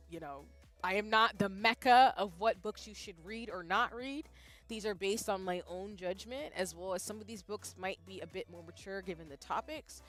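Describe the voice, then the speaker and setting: A young woman streamer talking casually and animatedly to her chat, jumping between loud bursts and soft asides, often poking fun at herself. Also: medium pitch. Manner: self-deprecating, reads out chat. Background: faint background music.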